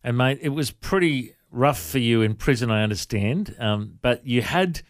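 A man hosts a radio show and asks his guest short, friendly questions. His voice sits at a middle pitch, and the recording is dry and close, with no room sound. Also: expressive, normal speed, no room sound. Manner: friendly, brief prompting questions. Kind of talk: radio interview.

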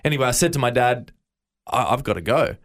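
A man's voice: medium pitch, expressive, fast, a bit bright. A man with an Australian accent, a guest in an interview, earnestly recounting his faith story with frequent stammered restarts. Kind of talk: interview, personal testimony.

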